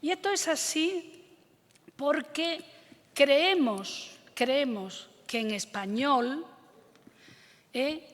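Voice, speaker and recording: A woman's voice: high-pitched, drawn-out words, long pauses, a bit bright. A woman from Córdoba, Spain, with an Andalusian accent, giving a formal conference address as a government official, earnest and hesitating with fillers. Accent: Andalusian Spanish. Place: large theater stage. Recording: long hall reverb from a theater.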